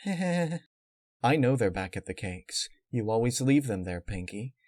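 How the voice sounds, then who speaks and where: Calm, calculating villainess unicorn read by a man in a mid-pitched voice, lecturing and matter-of-fact, dry close recording for a dark storybook. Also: takes it slowly, dry recording. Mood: calm menace. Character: cold, calculating female unicorn villain, calmly menacing.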